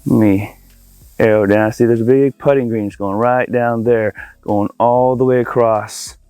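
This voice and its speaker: A man's voice: medium pitch, steady tone, measured pace, dark tone, clean tone, no echo, faint music behind. A man giving a casual, enthusiastic video walkthrough outdoors, talking informally to viewers as an installer showing off a project. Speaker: audio-video installer presenting a project tour. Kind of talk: casual walkthrough vlog to camera. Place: outdoors in a backyard by a pool.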